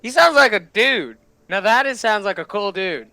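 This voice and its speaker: Young dude reacting to stuff, hyped and gushy, bright highish voice, says things over again. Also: male voice, normal speed, no echo. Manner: excitable, gushing, repeats himself. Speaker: reaction video host. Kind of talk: casual reaction commentary.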